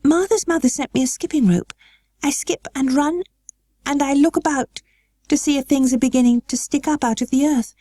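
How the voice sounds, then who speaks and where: A timid, eager little girl from a storybook, as a woman narrator puts her on. Her voice sits high and trembles, pleading and hesitant, in a very close, dry recording. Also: normal speed, dark tone, no room sound, lightly acted. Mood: earnest, nervous. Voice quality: tremulous, breathy when nervous.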